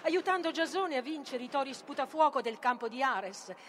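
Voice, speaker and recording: Very high-voiced, tormented tragic sorceress. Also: female voice, animated, normal speed, fairly bright tone, a little hiss or hum. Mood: bitter, fierce. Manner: declamatory, volatile, swings from whispers to cries. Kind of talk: theatrical tragic monologue, declaimed dramatic reading.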